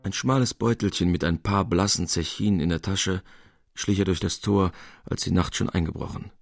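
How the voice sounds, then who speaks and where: A man reading literary prose as a narrator, in a measured and expressive storytelling manner. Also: low voice, vocal fry.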